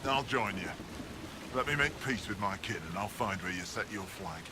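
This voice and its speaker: An actor playing a gruff male clan warrior in a video game cutscene. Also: on the low side, animated, normal speed, close to an everyday voice. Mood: solemn. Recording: loud ambient noise bed.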